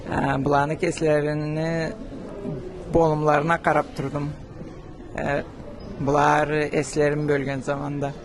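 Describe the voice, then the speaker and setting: A man giving a slow, halting answer in an interview, pausing to search for words. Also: a little high. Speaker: viewer interviewed after screening. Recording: steady background noise.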